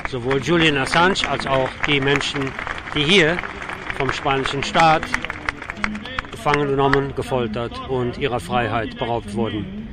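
A man working as a radio street reporter, speaking off the cuff in halting, searching phrases, live from a demonstration outdoors. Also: mid-range voice. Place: outdoors on a city avenue at a demonstration. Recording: noisy outdoor street with crowd. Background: crowd and street noise.

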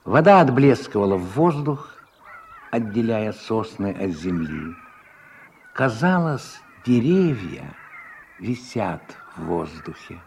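A man's voice: fairly low pitch, sing-song, slow pace, vocal fry, a little mellow, a little hiss or hum. A man narrating a literary radio drama from a script in a storyteller's manner.

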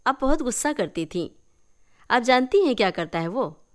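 A woman voicing a curious, mocking young woman in a story, expressive and wry. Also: on the high side, moderate pace, pitched above the performer's own voice.

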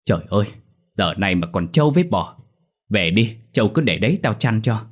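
A man's voice: fairly low pitch, very animated, fairly quick, breathy edge. A man voicing a frantic boy in a story who urges his friend on with insistent, repeated phrases. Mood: urgent, impatient. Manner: urgent, breathless repetition, hectoring. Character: frantic boy, a friend bringing terrible news, bossy.

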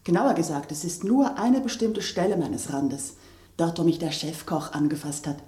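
Woman acting as talking sauce boat, lowish mellow voice, fussy, precise, confiding gossip, radio drama, roomy acoustic. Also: lively intonation, normal speed, lightly acted.

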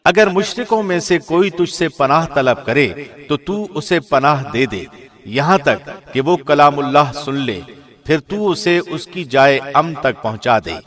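A man reading a scripture translation in a formal, measured, solemn way, phrasing clearly like a professional radio presenter. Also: fairly high pitch, expressive, a bit bright.

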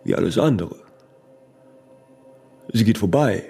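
An actor playing a stubborn, impatient ageing artist in a radio play, husky and creaky, insistent, often ending on small tag questions. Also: male voice, on the low side, animated, unhurried, mellow, faint music behind, exaggerated voice. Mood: musing, persuading.

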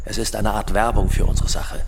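An actor playing a calculating fugitive drug lord who gives deliberate instructions to his family in a radio drama. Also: fairly low pitch, little pitch movement, creaky, very noisy. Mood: calmly insistent. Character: fugitive drug lord father, commanding, calculating, dictating by phone. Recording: music loud behind the voice. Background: loud music.

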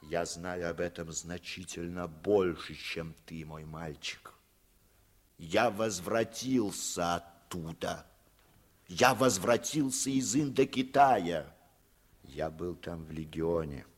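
A man's voice: sits low, slow pace. An actor playing a weary former German legionnaire who tells his story reflectively and bitterly in a 1950s radio drama. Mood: quiet, bitter.

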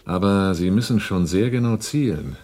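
Low husky shrewd arms smuggler. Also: male voice, expressive, normal speed, warm tone, no echo. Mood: matter-of-fact. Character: Altmaier, shrewd cool-headed arms-smuggling businessman. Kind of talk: 1980s German radio drama acting.

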